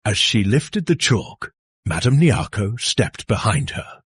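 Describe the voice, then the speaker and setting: Husky male storyteller, slow and dramatic. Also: fairly low pitch, very animated, no echo, studio quality. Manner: suspenseful, theatrical delivery with dramatic pauses. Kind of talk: dramatic scripted horror folktale narration.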